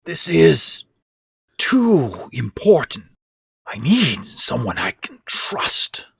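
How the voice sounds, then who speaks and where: A man voicing a frail, scornful old stepfather in a horror audio story, with a hoarse, rasping voice and a bitter, forceful manner. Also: mid-range voice, huge pitch swings, very slow, no room sound, clean studio sound, pitched above the performer's own voice, heavily acted. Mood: insistent.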